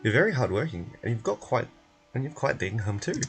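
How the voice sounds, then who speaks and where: A fussy bureaucratic clerk from a video game, acted out by a man. He is officious and wordy, with a pushy, coaxing way of talking. His voice sits at a middling pitch, with music playing behind it. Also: very animated, fast, gravelly. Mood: approving, fussy. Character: fussy male bureaucratic clerk, pleased and pushy, nursing hurt leg. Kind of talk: video game character voice-over.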